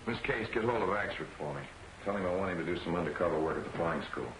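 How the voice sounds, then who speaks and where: Shrewd editor for a 1940s serial: businesslike, fast, commanding, over noisy old film sound. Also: male voice, low-pitched, expressive. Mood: brisk. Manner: clipped, businesslike. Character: shrewd, brisk, authoritative male newspaper editor. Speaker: newspaper editor.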